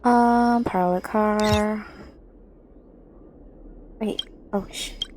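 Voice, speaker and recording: A woman livestreaming a story game, reacting casually and excitedly with gushing, giggly fangirl comments. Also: medium pitch, extremely slow, slight background noise.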